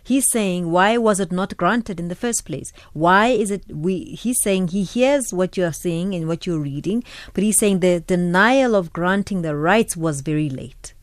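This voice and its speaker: A woman's voice: on the low side, a little slow, few pauses, no room sound, clean studio sound. A woman hosting a radio talk show who clarifies and restates what her guests are saying. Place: radio studio.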